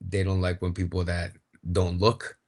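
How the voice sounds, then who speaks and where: A man with a lowish voice explains a place in a relaxed, conversational tone. He talks plainly, with some fillers, like a guest on a talk show. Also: moderate pace. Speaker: wrestler guest. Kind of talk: casual chat.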